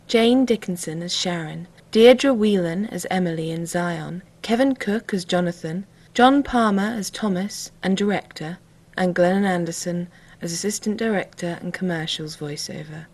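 A woman delivers scripted end credits as an announcer. Her voice sits on the low side. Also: normal speed, a little mellow.

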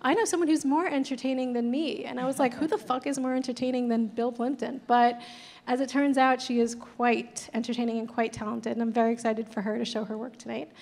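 High-voiced woman hosting a panel. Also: fairly even intonation, brisk, creaky, darkish tone. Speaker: event host, moderator. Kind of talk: introducing panelists. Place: gallery stage before a large audience. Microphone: handheld mic.

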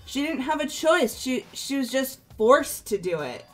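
A woman's voice: high voice, very animated, a little slow, faint music behind, heavily acted. A woman voicing a loyal, emotional teenage girl in a visual novel game, pleading and stammering. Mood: defensive, pleading.

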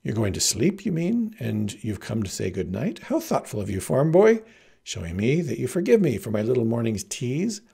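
Man putting on a haughty, husky lovesick young woman. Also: medium pitch, animated, unhurried, warm tone, heavily acted. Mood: affected airy disdain. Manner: affected, gushing, theatrical. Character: haughty, lovesick seventeen-year-old farm girl, affecting fancy airs.

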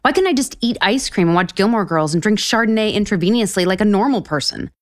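An actress playing an overwhelmed, sarcastic, foul-mouthed author in an audio romantic comedy. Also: female voice, medium pitch, animated, fast talker, lightly acted. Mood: exasperated. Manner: sarcastic, exasperated.

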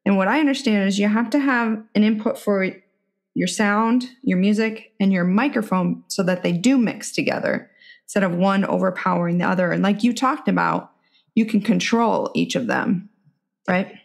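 A woman's voice: medium pitch, moderate pace, vocal fry. Woman podcast host, casual and talkative, mid-range voice. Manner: chatty, asks questions with brief affirmations. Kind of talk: casual interview chat. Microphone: home podcast mic.

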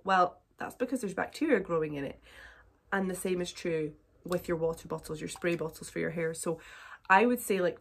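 A British woman in her thirties with a slightly croaky, blocked voice from a cold, chatting to viewers in a casual hair tutorial vlog from her bedroom. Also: lowish voice. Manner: chatty, upbeat, talks to viewers. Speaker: curly hair YouTuber. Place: bedroom, indoors, small room.

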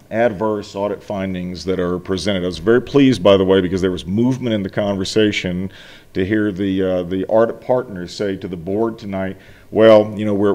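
A man's voice: low-pitched, a little mellow. A middle-aged man giving a formal finance report to a board, speaking earnestly and emphatically, often restarting his phrases. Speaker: college finance officer. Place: meeting room, seated at a table. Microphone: desk microphone.

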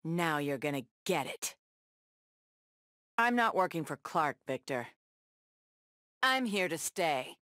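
A woman's voice: deep voice, very animated, takes it slowly, pauses often, a bit bright, no room sound, studio quality, exaggerated voice. A woman playing a tough, sassy superheroine in a video game, trading confident, defiant taunts and wry one-liners. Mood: taunting. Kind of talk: video game battle banter.

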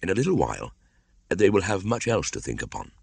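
A man's voice: sits low, big pitch swings, brisk, vocal fry, husky, no echo, voice pushed up, heavily acted. A man voicing a stern jungle-raised boy. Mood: commanding. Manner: stern, archaic speech. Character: young male jungle-raised boy, fierce and commanding.

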